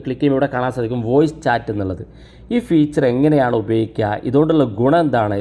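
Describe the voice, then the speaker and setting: A man's voice: medium pitch, warm tone. A man narrating an explanatory tech tutorial, addressing viewers directly in an instructional way.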